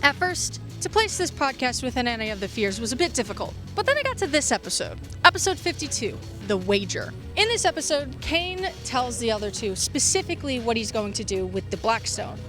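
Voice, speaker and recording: A woman's voice: on the high side, sing-song, brisk, few pauses, fairly bright tone. A woman presenting a scripted video essay with casual asides and dramatic emphasis on key phrases. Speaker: video essayist reviewing fiction podcasts. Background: background music.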